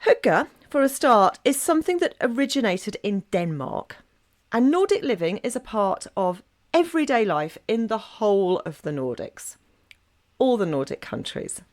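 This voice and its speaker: A woman's voice: medium pitch, sing-song, normal speed. An Englishwoman with an English accent, a guest on a podcast, talking in a chatty way with rhetorical questions.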